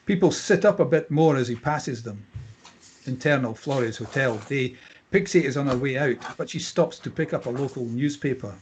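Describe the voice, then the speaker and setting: A man with a husky voice reading scripted stage directions as narrator at a table read. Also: medium pitch, normal speed, a little hiss or hum.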